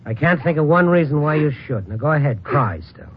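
An American actor playing a calm, wry man who narrates and consoles friends in a measured, noncommittal way, in old-time radio drama style. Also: on the high side, a little hiss or hum, natural voice. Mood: calm, soothing. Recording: muffled old radio recording, music behind.

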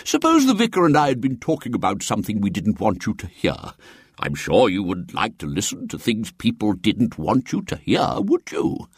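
A man's voice: on the low side, huge pitch swings, normal speed, slightly rough, no echo, studio quality, exaggerated voice. A man voicing a fussy, stammering, pompous elderly bishop in a storybook reading. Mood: reproachful. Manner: pompous, condescending.

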